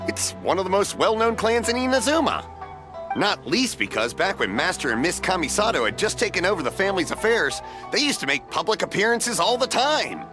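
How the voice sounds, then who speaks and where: An actor playing a friendly, generous middle-aged stallkeeper in a video game, with a hearty, folksy manner. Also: male voice, sits high, sing-song, a little fast, over music. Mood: jovial, admiring. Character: friendly, generous older festival stallkeeper, man.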